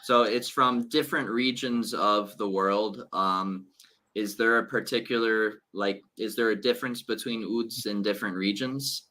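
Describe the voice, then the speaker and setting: Upbeat male interview host, bright voice. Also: on the low side, fairly even intonation, a little slow. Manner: upbeat, enthusiastic.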